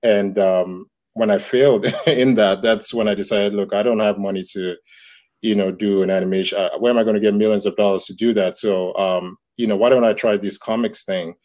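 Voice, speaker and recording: Nigerian-born creator in his thirties, low warm voice, thoughtful interview answers. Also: fairly even intonation, brisk. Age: 30s. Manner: reflective, many fillers and restarts. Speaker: comic book creator. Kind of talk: casual interview talk.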